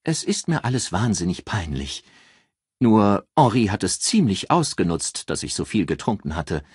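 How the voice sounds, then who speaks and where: A man's voice: on the low side, very animated, moderate pace, a little mellow, breathy edge, no echo, clean studio sound, heavily acted. A man voicing a demure but calculating female boss in an audiobook, bashful and earnest with feigned coyness. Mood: embarrassed.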